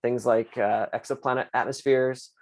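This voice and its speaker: A man running an online astronomy class, moderating questions and explaining the course in a relaxed way with many fillers. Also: mid-range voice, normal speed. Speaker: course instructor and host.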